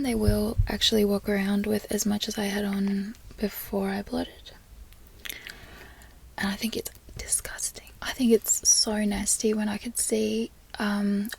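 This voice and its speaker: A young woman from Florida whispering softly in a chatty, rambling way, as a relaxed ASMR talk. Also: medium pitch, vocal fry, a little hiss or hum. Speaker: ASMR creator.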